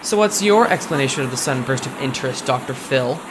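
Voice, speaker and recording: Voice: mid-range voice, quick pace, background noise, lightly acted. A performer playing a sarcastic, insecure teenage boy who narrates his would-be superhero life with wry self-mockery in a comic dub. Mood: incredulous. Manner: wry, self-mocking, expressive. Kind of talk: first-person narration and comic dub acting. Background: music.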